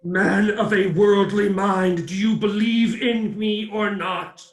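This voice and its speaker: An actor playing the mournful, doom-laden ghost of a dead businessman in a theatrical radio play, slow and declamatory with a wailing edge. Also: high voice, steady tone. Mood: grave, mournful. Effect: cavernous echo on the voice. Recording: echoing, distant-sounding voice.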